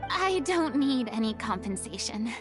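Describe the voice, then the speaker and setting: A woman's voice: fairly high pitch, sing-song, a little slow, soft background music. A woman voicing a proud, theatrical young former stage star in a video game, with pompous, florid diction. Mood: grandiose, reflective. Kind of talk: dramatic video game voice acting.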